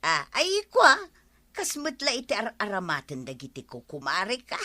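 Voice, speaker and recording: A nervous, evasive wife in a radio comedy. Her voice is bright and dry, leaping up and down in pitch as she hesitates and stammers. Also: huge pitch swings, moderate pace, no room sound, exaggerated voice. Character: flustered, evasive wife hiding a money secret. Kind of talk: regional radio comedy drama acting.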